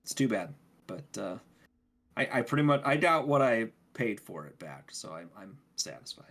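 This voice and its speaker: Low-voiced man, halting casual talker. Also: sing-song, fairly bright tone. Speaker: podcast co-host. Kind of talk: casual chat.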